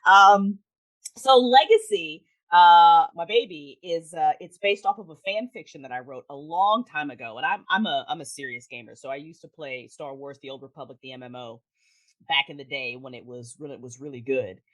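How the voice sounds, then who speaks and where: A woman chatting casually as a podcast guest, animated, self-deprecating and rambling. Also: deep voice, normal speed. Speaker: podcast guest, author and streamer. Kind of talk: casual chat.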